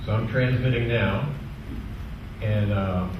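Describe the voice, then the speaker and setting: A man giving an informal technical presentation to a club, explaining a software demonstration step by step in a halting way. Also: fairly low pitch, fairly even intonation, muffled recording. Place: indoor club meeting room. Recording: echoey room from far off, clearly noisy.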